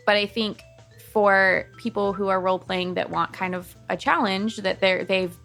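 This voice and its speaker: A woman's voice: mid-range voice, gravelly, bright, forceful tone. A woman co-hosting a roleplay podcast, chatting casually and reassuringly with lots of fillers. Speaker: podcast co-host.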